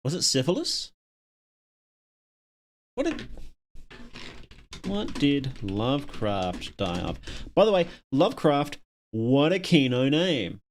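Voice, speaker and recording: A man's voice: medium pitch, big pitch swings, slow pace, pauses often. A man hosting a casual livestream, chatting to viewers and thinking aloud in a rambling, opinionated way. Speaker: livestream host.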